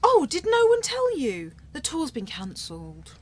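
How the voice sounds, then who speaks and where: Brazen airport desk clerk, sly and blunt, highish voice, very animated radio comedy delivery. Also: female voice, unhurried, heavily acted. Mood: dismissive. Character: brazen, scheming female airport check-in clerk.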